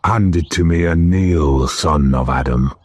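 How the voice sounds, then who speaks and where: A man's voice: breathy edge, no room sound, full character voice. A man voicing a great, noble lion king in an audiobook, grave and commanding, with a purring voice. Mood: grave, stern. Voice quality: low, purring voice. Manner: slow, grave, authoritative.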